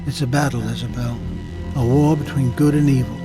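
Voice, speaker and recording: A man's voice: mid-range voice, fairly even intonation, music in the background, close to an everyday voice. A man playing an earnest, devout old grandfather who tells things solemnly and without hurry in an audio drama. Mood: grave warning. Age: older. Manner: solemn, unhurried storytelling. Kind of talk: full-cast audio drama acting.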